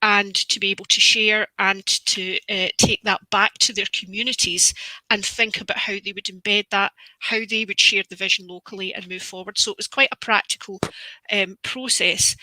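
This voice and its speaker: Woman, very bright voice, dead dry sound, earnest, keeps restarting sentences. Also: mid-range voice, no echo, low-quality recording. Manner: earnest, repeats words while thinking. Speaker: book author. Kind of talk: casual discussion.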